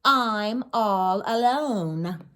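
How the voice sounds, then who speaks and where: A woman's voice: mid-range voice, expressive, very slow, a bit bright, smooth. A woman voicing a harried village woman in a storybook, fretful and pleading as she speaks. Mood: overwhelmed, fretful. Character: Rivka, harried lone village woman preparing the feast.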